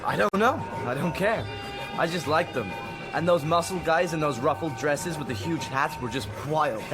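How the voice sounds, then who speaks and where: A man's voice: fairly high pitch, expressive, moderate pace, over music, lightly acted. An actor playing a naive, lovesick sixteen-year-old boy in a radio drama, speaking hesitantly and earnestly. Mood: excited, carefree.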